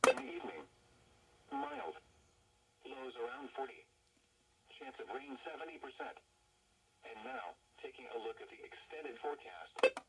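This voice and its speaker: A man's voice: mid-range voice, very animated, a little slow, vocal fry, long pauses, sharp tone. A synthetic man's voice reading an automated weather forecast in stilted, clipped phrases through a radio speaker. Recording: noisy weather radio speaker picked up in room.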